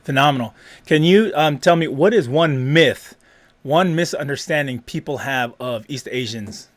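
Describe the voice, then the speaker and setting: An upbeat American man hosts a casual livestream interview. He keeps things jokey and calls his guest man and bro. His voice sits a little high and sounds close and dry. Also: moderate pace, no echo. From United States. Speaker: host.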